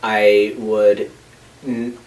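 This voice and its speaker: A man in his thirties in a documentary interview, talking hesitantly with many pauses and fillers. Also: fairly low pitch, level tone. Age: 30s. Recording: voice from a distance with faint noise.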